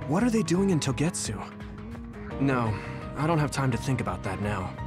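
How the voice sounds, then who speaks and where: A man voicing an earnest young soldier hero in an anime-style game cutscene, quick to worry. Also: mid-range voice, sing-song, natural voice. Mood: earnest, puzzled. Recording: music behind the voice.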